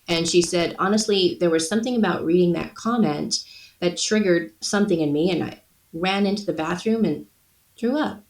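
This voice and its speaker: A middle-aged woman chatting as a podcast guest, telling personal anecdotes in an expressive, conversational way. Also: lowish voice, moderate pace. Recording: roomy echo, distant from the mic.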